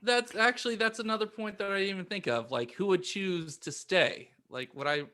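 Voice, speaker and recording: A man's voice: sits high, fairly quick, sharp tone. A man co-hosting a podcast in casual, conversational banter with friends. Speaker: podcast co-host.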